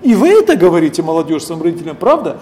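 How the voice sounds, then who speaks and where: A middle-aged man preaches with a voice that rises and falls widely. He reads scripture slowly, then breaks off to ask his listeners questions and call them friends. His tone is mellow and warm, with a faint hiss under it. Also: fairly high pitch, very animated. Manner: deliberate, keeps addressing listeners as friends, asks rhetorical questions. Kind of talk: sermon with scripture reading.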